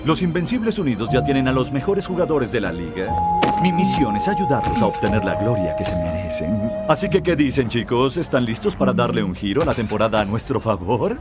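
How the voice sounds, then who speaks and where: An actor playing a boastful new football captain in a cartoon dub. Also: male voice, mid-range voice, big pitch swings, a little fast, loud music behind the voice, natural voice. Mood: proud. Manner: boastful, rallying. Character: smug new football captain Duma, confident man.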